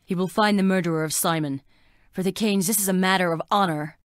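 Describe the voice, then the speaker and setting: A woman's voice: fairly low pitch, fairly even intonation, a little fast, no echo, clean studio sound, lightly acted. An actress playing a frail, melancholy young woman in a plague-stricken town, delivering dramatic video game lines in a wistful, languid, theatrical way. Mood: anxious, hopeful.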